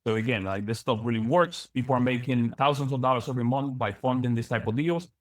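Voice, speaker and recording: Business coach, male, young, from the Dominican Republic; quick, energetic lecture full of restarts. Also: medium pitch, no room sound. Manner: energetic, repeats words, many fillers. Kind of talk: live online training lecture.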